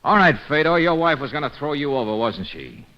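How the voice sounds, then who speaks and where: An actor playing a hard-boiled private detective in an old-time radio drama, sardonic and probing. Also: male voice, fairly high pitch, sing-song, brisk. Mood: pressing. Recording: dull lo-fi old radio recording.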